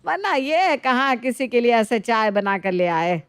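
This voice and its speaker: A very high-voiced, older mother-in-law played by a woman from a cartoon moral tale, recorded dry and close. She nags and gushes in an exaggerated, theatrical manner. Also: sing-song, a little slow, smooth, no echo. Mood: complaining. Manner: exaggerated, complaining, effusive. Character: nagging older mother-in-law, gushing over niece, scolding her own. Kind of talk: animated moral story dialogue.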